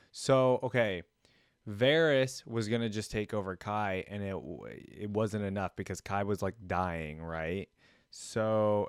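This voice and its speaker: Low-voiced man reacting casually, dry close sound. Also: smooth, dry recording. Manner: tentative, thinking aloud. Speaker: reaction video host. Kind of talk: casual off-the-cuff commentary.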